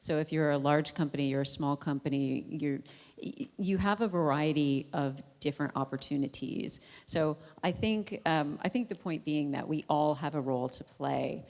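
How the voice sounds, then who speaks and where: A woman's voice: deep voice, moderate pace. An American woman speaking as a panelist in a panel discussion, emphatic and outspoken. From United States. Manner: emphatic, self-described loud voice. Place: conference hall on stage. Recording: reverberant conference hall.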